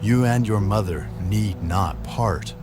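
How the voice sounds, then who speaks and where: A man's voice: lowish voice, slow pace, over music. A man playing a kindly, reassuring aging traveler in an audio drama. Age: older.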